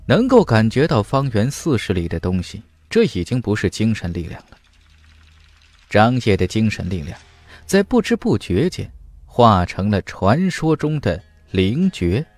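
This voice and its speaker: A man narrating a fantasy audiobook in a dramatic scripted style, with emphatic, deliberate delivery. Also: on the low side, big pitch swings, a little mellow, no echo.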